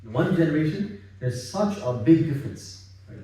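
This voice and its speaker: Man from Bangladesh, relaxed home religious talk, warm tone, heard from afar. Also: a little high, normal speed. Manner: relaxed, repetitive, sprinkled with religious phrases. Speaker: halaqah speaker. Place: home living room gathering. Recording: echoey room, far from mic.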